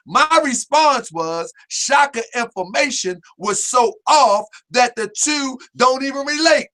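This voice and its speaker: A man with a high, bright, sharp voice hosts a live stream talk show. He talks in a hyper, emphatic and preachy way, pressing hard on his points. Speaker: host.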